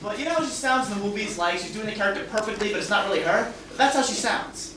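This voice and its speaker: A man's voice: high voice, quick pace, lightly acted. An actor playing an irate, sarcastic wealthy man in a theatrical stage farce, blustering and exasperated. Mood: sarcastic, aggrieved. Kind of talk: theatrical stage comedy acting. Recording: distant echoey stage recording, noisy audience.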